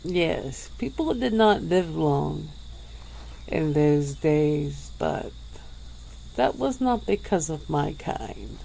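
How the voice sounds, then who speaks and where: A woman voicing a centuries-old female vampire who speaks slowly and softly, with breathy little laughs, in an ASMR roleplay. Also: low voice, expressive, a little hiss or hum, full character voice, poor audio. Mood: teasing, languid. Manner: slow, soft-spoken, with breathy little laughs.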